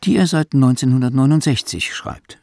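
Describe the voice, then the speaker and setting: A man's voice: medium pitch, normal speed, a little mellow, dry recording. A man narrating a scripted radio feature in formal, literary phrasing.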